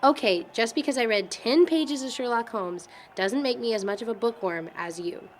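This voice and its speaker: A woman's voice: a little high, normal speed, slight background noise, natural voice. A young woman playing a chatty college student in an indie film, with a teasing, informal manner. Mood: playful, teasing. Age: young adult. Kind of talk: naturalistic amateur film dialogue.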